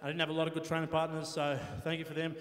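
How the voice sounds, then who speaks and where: A man talks in a laid-back, easygoing way in a post-match interview. His voice sits a bit high. The sound is roomy, with a long hall reverb. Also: rapid-fire. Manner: casual, easygoing, relaxed. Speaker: competitor being interviewed. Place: competition arena.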